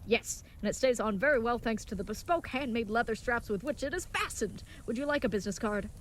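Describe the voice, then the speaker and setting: A woman's voice: a little high, lively intonation, fairly quick, a little hiss or hum, lightly acted. A woman playing an earnest, naive, chivalrous young knight in an audio comedy, speaking with stilted, wordy politeness. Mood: eager, polite. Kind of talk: scripted audio comedy acting.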